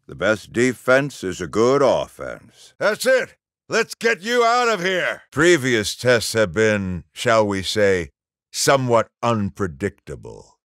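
A stern, middle-aged general for a video game, issuing orders with clipped authority. His pitch moves widely as he briefs his troops, and the recording is close and dry. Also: a bit bright, dry recording. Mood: urgent, shouting orders. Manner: authoritative, clipped military delivery. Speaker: military commander character in a video game.

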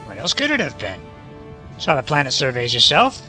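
A gruff, sceptical male crewman acted by a man in a sci-fi audio drama. His mid-pitched voice is very sharp and forceful, with faint music behind. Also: wildly swooping pitch, moderate pace, exaggerated voice. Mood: doubtful.

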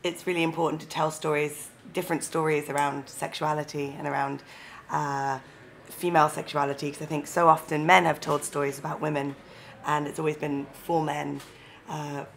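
A woman's voice: low voice, gravelly, slight background noise. A woman, a film director giving thoughtful, earnest interview answers on a lapel mic. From London, England. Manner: thoughtful, earnest, with small restarts. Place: seated indoors in a room with a leather sofa.

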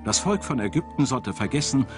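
A man with a standard German accent narrating a scripted documentary in a measured, dramatic storytelling manner. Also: medium pitch, big pitch swings. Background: background music.

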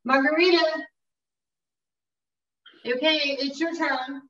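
A woman's voice: high voice, steady tone, slow pace, fairly bright tone. A woman playing a deferential, polite assistant to a director, on a webcam in a room with a wooden door. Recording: distant, dull webcam sound.

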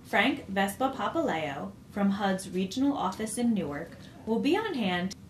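A woman reads a public announcement aloud with clear, even delivery and a lively, rising-and-falling pitch. The room around her rings with echo, with a faint hiss of ambience underneath. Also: measured pace. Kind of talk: read public service announcement.

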